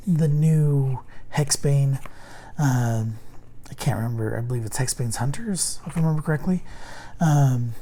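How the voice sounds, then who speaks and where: A man hosts a relaxed card review while his voice is nearly gone, so it sounds hoarse and strained. His tone is dark and mellow. He talks in a halting, meandering way, with frequent ums and restarts. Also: medium pitch. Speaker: host reviewing a card game deck. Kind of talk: casual unboxing and card review.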